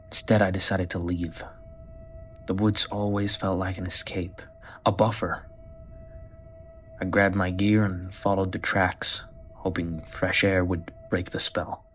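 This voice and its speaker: A man as a very deep, haunted horror narrator. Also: level tone, a little slow, long pauses, a bit bright. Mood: brooding. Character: brooding, haunted man recounting a horror tale. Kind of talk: scripted first-person horror narration. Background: music.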